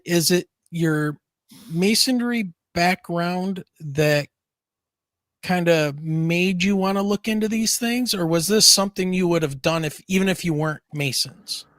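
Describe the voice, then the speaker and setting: A man's voice: fairly high pitch, a bit bright, dry recording, studio quality. A man hosting a livestream interview, asking questions casually with "like" as a filler. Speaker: livestream host.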